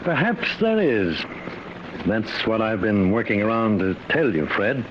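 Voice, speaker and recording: Grave professor, noisy old radio recording. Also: male voice, lowish voice, very animated, unhurried, dull audio. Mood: earnest, confiding. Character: grave, worried professor inventing time travel, fatherly. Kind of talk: 1950s radio drama acting.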